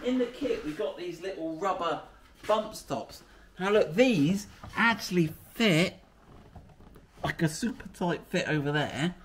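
A man's voice: sits high, lively intonation, measured pace, a bit bright, slightly rough. A middle-aged British man chatting casually as he works in a workshop garage, explaining his fix step by step and joking at himself. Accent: British English. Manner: chatty and self-mocking, thinking aloud. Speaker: workshop presenter. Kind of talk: casual how-to chat while working.

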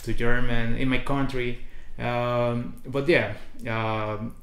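A man's voice: medium pitch, takes it slowly. A young man from Honduras with a Honduran Spanish accent, a native Spanish speaker, answering in a casual interview with fillers and restarts. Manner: animated storyteller with frequent restarts and fillers. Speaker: international student guest. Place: small colorful lounge indoors. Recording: echoey room.

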